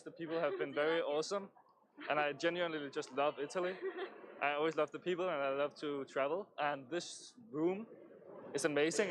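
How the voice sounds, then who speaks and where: A man's voice: fairly high pitch, fairly bright tone, a little hiss or hum, low-quality recording. A man from Denmark, chatting enthusiastically as a conference delegate in an interview. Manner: friendly, enthusiastic. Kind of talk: casual interview answers.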